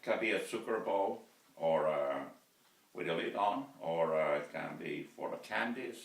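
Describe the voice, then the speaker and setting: A man in his fifties with a Finnish accent, speaking English as a second language, talks casually in his wood shop, halting often and restarting his sentences. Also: deep voice, expressive, creaky. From Finland. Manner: slow, halting, many fillers and restarts. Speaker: woodturner vlogger. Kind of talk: casual workshop vlog. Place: cluttered indoor wood shop. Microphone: camera mic from several feet away. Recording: roomy workshop sound from a distance.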